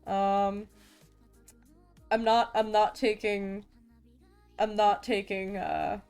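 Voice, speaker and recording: Slow-talking stuttering young woman streamer. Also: medium pitch, level tone, darkish tone, clean tone, faint music behind. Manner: stutters and restarts often, self-deprecating. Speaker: VTuber art streamer. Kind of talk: casual live-stream chat while drawing.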